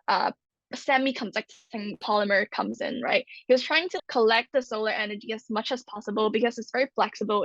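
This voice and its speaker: A woman's voice: fairly high pitch, a bit bright, dry recording, dull audio. A young woman from Hong Kong co-hosting a student podcast in casual chat, with frequent "like" fillers. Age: young adult. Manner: chatty, many "like" fillers. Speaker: podcast co-host.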